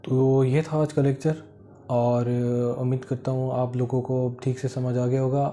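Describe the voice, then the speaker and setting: A man with a Pakistani accent giving an informal explanatory lecture, halting and repetitive, with many filler phrases. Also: mid-range voice, little pitch movement, warm tone, a little hiss or hum. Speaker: law lecturer. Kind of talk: informal explanatory lecture, Urdu mixed with English legal terms.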